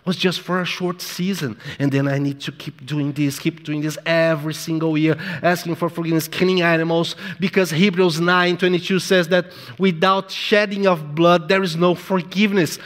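A man's voice: fairly even intonation, moderate pace, hardly any pauses, fairly bright tone. A man preaching a sermon in accented English, a non-native speaker who acts out voices dramatically. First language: not English. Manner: dramatic, acts out the devil's voice in low tones. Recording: long hall reverb.

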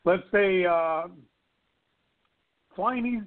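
A man giving fight picks in casual chat, rambling and excitable with stammered restarts, his voice going hoarse. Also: high voice, extremely slow. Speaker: regular panelist. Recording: tinny phone-line audio.

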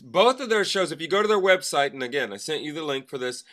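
A man's voice: a little high, expressive, fast talker, a bit bright. A man co-hosting a podcast, chatting casually and giving announcements about shows. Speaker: podcast co-host. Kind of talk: casual show announcements and chat.

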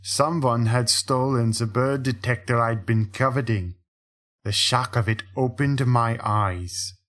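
A man voicing a paranoid, malicious painter in an audiobook, speaking slowly and broodingly. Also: medium pitch, fairly bright tone, lightly acted. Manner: slow, brooding, menacing. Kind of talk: audiobook character reading.

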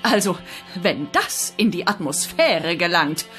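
An actress playing a commanding, matter-of-fact briefer at a heavenly agency in a comedic radio play. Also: female voice, fairly low pitch, big pitch swings, unhurried, a bit bright, soft background music.

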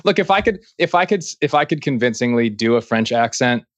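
A man's voice: medium pitch, expressive, fairly quick, dry recording. A man hosting a music interview podcast, chatty and joking, often restarting his sentences. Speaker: podcast host. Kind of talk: casual interview chat.

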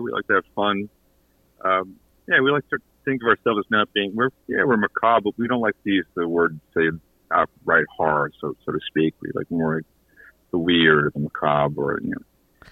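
A man speaking casually as a theater company guest in a radio interview, halting and often rephrasing. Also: fairly low pitch, dry recording. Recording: tinny narrow phone-like line.

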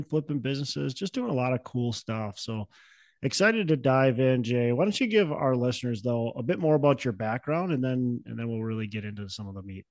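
A man's voice: medium pitch, gravelly, darkish tone, no echo. Man hosting, dry close sound. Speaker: podcast host. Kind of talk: interview introduction.

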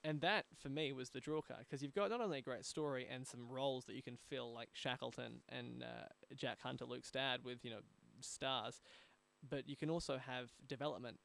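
A man's voice: medium pitch, steady tone, moderate pace, gravelly, fairly bright tone. An Australian man hosting a podcast in a conversational interview style. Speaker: podcast host.

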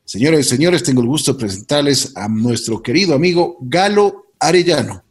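A man's voice: on the high side, animated, a little fast, husky. Effusive male interview host. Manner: warm effusive introductions, repeats phrases. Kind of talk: interview hosting.

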